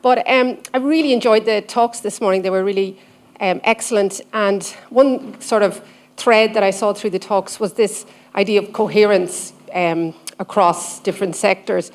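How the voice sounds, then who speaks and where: A woman's voice: mid-range voice, fairly even intonation, normal speed. A woman from the audience of a conference asking a long question, chatty and thinking aloud.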